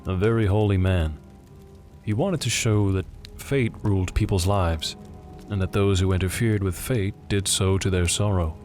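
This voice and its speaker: A man's voice: sits low, moderate pace, darkish tone, soft background music, close to an everyday voice. A man voicing a grave, weary middle-aged sergeant major, terse and solemn.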